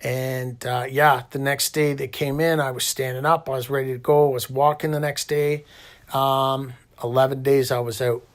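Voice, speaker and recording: A man's voice: mid-range voice, little pitch movement, normal speed. A middle-aged man with a Canadian accent, talking casually and colloquially in a rambling storytelling way as he hosts. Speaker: interviewer and host recounting own hospital stay.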